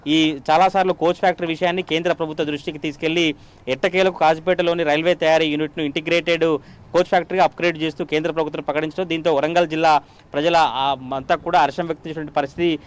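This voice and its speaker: Male field reporter, fairly high voice, rapid formal news delivery with filler sounds. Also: little pitch movement, clean tone. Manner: fast continuous delivery with frequent "aa" fillers.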